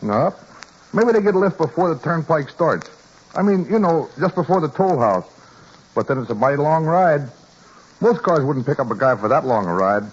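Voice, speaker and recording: A man's voice: fairly high pitch, sing-song, normal speed, husky. A man as a folksy gas station attendant. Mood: easygoing. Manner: folksy, drawling, plain-spoken. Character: laconic rural male gas station attendant. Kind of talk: radio drama acting. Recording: dull lo-fi with faint hiss.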